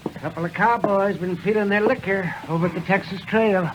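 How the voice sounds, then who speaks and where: An actor playing a concerned town doctor, in 1950s radio drama acting, matter-of-fact and warning. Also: male voice, high voice, fairly quick, noisy background, natural voice.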